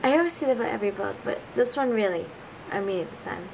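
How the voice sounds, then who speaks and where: A teenage girl talks through a heartfelt book review with a raspy, gravelly voice. She rambles earnestly and keeps reaching for the right words. A steady rumbling noise sits clearly under the recording. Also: quick pace. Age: teens. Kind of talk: casual book review vlog. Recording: noticeable rumbling background noise.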